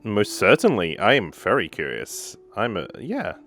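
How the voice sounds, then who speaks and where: A man's voice: fairly low pitch, huge pitch swings, moderate pace. A man giving casual let's play commentary as a gaming host, tossing short asides over the game dialogue. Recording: game music behind the voice.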